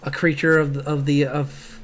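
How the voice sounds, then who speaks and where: A man streaming as he draws, chatting casually in a halting, rambling way as an artist. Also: fairly high pitch, little pitch movement, fairly bright tone, a little hiss or hum. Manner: halting, rambling, chuckling asides. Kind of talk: casual livestream chat while drawing. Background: background music.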